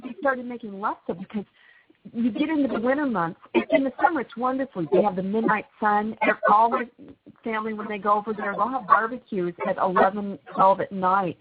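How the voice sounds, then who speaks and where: A woman's voice: medium pitch, brisk, slightly rough. A woman telling family holiday stories in a chatty, laughing way as a guest on a call-in show. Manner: chatty, laughs often, storytelling. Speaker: radio guest. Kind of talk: casual call-in chat. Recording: tinny phone line with noise.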